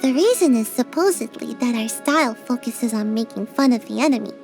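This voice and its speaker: A woman's voice: a little high, lively intonation, brisk, creaky, a little mellow, faint music behind. A woman voicing a tiny, sleepy young ninja girl in a game, drowsy and a little whiny, shy but grumpy. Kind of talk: game character voice lines.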